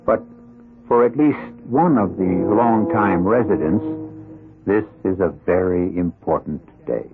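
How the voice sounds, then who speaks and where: A man hosting and narrating a scripted radio drama in a deliberate, ominous, theatrical manner. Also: low-pitched. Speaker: host and narrator. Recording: tinny old radio broadcast. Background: music.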